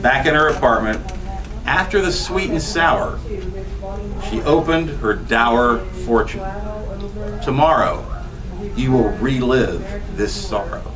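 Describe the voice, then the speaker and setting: A man's voice: medium pitch, slow pace, long pauses, crowd noise. A man in his fifties giving a poetry reading with chatty, laughing asides in a small bookstore room. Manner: animated, gesturing, laughs between lines. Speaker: poet. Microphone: far camera, no mic. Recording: voice from a distance.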